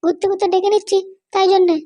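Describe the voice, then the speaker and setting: A middle-aged Bengali wife with an extremely high, childlike cartoon voice. She talks quickly and bossily, nagging and commanding, always suspicious and scheming. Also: level tone, mellow. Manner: nagging, commanding, keeps demanding to be understood. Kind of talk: animated comedy cartoon dialogue.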